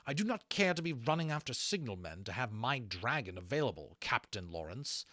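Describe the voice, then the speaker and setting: A man's voice: mid-range voice, big pitch swings, a little fast, bright, forceful tone, dry recording, studio quality, voice pushed up. A man acting a cold, haughty military captain in an audiobook, curt, imperious and scolding. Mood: cold disdain.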